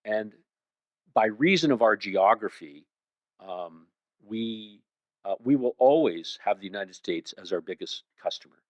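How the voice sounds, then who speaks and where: A man with a Canadian accent speaking at a conference in a measured, conversational way as he answers questions. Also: lowish voice, expressive. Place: indoor conference room. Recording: roomy, dull lo-fi sound.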